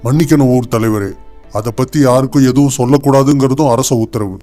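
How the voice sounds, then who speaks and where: A man voicing a dutiful chief palace guard, formal and official, in an animated moral story. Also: mid-range voice, a little fast, soft background music, close to an everyday voice. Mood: grave.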